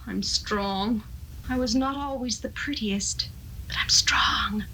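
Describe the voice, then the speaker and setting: A woman's voice: mid-range voice, takes it slowly, bright, forceful tone, a little hiss or hum, poor audio. A woman acting a cold, strong-willed Victorian spinster of thirty-two in a 1970s radio drama, with a brooding, imperious manner. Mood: entranced, cold.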